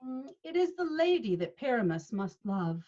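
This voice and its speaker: A woman's voice: on the high side, big pitch swings, normal speed, dark tone. A woman playing a patient male leader of amateur players in a Shakespeare read, instructing expressively over a video call. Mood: directing. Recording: dull video-call audio.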